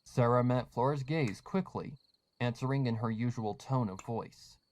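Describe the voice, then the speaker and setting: A man's voice: medium pitch, moderate pace, a little mellow. Guy narrating a spooky story, crickets and bg noise. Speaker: narrator of a fan fiction reading. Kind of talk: scripted horror story narration.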